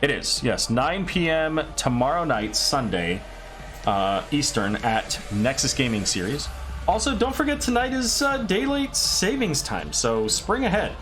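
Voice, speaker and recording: Man casting esports, voice tired and raspy. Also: on the high side, faint music behind. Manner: quick excited calls, then loose rambling sign-off. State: tired, voice getting raspy late at night. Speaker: esports caster. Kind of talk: solo esports play-by-play commentary.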